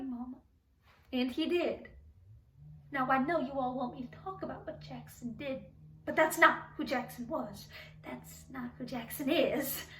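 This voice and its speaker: Woman acting a high-voiced, grieving, doting mother. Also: fairly bright tone, slight background noise. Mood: tender, wistful. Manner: halting, pleading, stammering. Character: grieving, defensive, doting mother of a troubled son. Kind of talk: dramatic monologue for speech competition. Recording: echoey room, distant microphone.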